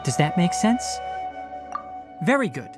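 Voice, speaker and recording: A man playing a calm, gently stern young forest ranger mentor in an anime video game, speaking in a precise, explanatory way. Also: high-pitched, a little slow. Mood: instructive. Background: music.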